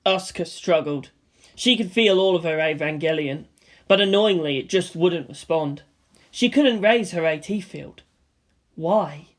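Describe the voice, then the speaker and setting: A woman's voice: fairly low pitch, unhurried. A woman narrator reading fan fiction as dramatic scripted narration.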